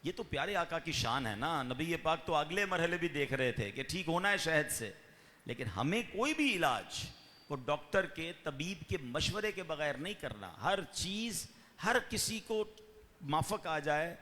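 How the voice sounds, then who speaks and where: A fervent male preacher with a fairly high, bright, forceful voice that climbs to raised peaks and slows into drawn-out chanting. His sermon rings with a long reverb, as if spoken in a large hall. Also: sing-song.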